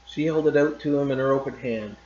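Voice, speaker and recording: Male teacher, story read-aloud, calm and measured, echoey room, slightly dull sound. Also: medium pitch. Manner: calm and measured, loose and chatty after reading. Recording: roomy, slightly dull recording.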